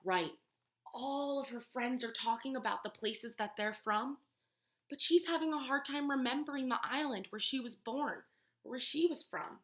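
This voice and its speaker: A woman teacher reading a storybook aloud to young children, pausing to explain words and ask questions. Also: sits high, fairly bright tone. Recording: roomy, echoey room sound.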